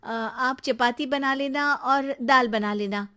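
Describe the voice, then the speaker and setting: A woman voicing a gentle, modest young daughter-in-law in a children's cartoon story, mild and polite. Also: sits high, takes it slowly, heavily acted. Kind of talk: children's animated moral story.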